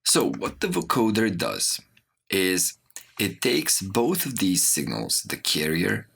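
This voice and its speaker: A man with a low, creaky voice teaches audio software step by step. His pitch moves around in a lively way as he explains, with keyboard and mouse clicks behind him. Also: slow pace. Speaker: audio production course instructor. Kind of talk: software tutorial narration. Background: mouse clicks and computer keyboard typing.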